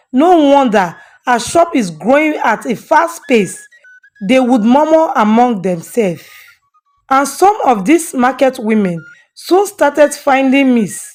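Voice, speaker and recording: A woman's voice: a little high, a little mellow. A woman storyteller giving a scripted narration of a folktale. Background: faint music.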